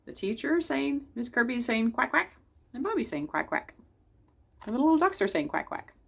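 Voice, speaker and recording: A woman's voice: on the high side, very animated, moderate pace, vocal fry. A woman hosting a children's storytime, reading a picture book aloud and chatting directly to young listeners. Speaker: library storytime host.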